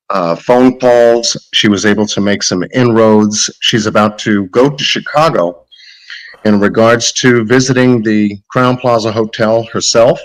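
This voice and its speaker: Hoarse man with a cold, halting. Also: lowish voice, poor audio. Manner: halting, with many "uh" fillers. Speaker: content creator giving an update. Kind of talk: casual spoken update.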